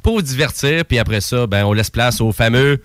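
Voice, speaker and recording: A man's voice: mid-range voice, lively intonation, fairly quick, fairly bright tone, no room sound. A young man with a Québécois accent hosts live radio, chatting loosely with plenty of "euh" and "ben" between jokes. His voice sits mid-range, recorded close and dry in the station studio with no room sound. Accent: Québécois French. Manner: chatty, filler-heavy, joking. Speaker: radio show host. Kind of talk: casual live radio hosting.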